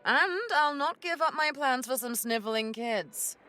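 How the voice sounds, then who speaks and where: A woman's voice: high voice, animated, unhurried, bright, forceful tone, soft background music, exaggerated voice. A woman playing a stern, sardonic female pirate captain in an audio drama, commanding and dryly sarcastic. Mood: stern, exasperated.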